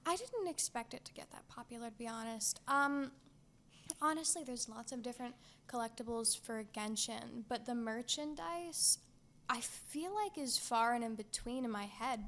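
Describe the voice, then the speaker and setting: A woman's voice: on the high side, moderate pace, bright, forceful tone. A teenage girl co-hosting a podcast in casual chat, laughing often and hesitating with frequent ums. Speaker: podcast co-host.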